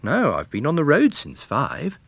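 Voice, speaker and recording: A man's voice: fairly high pitch, wildly swooping pitch, a little slow, gravelly, fairly bright tone, no echo, voice pushed up, full character voice. A man voicing a genial, courteous salesman and amateur sleuth in a story reading, mild and inquisitive. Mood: wry.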